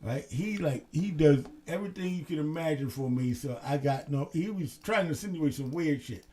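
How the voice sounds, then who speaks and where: A man co-hosting a casual late-night talk show, telling stories in an animated way and swearing freely. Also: on the high side, moderate pace. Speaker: co-host.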